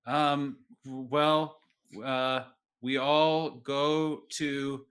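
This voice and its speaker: A man playing a hesitant, puzzled middle-school kid with halting questions in a tabletop game. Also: medium pitch, flat delivery, fairly bright tone, smooth. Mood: unsure.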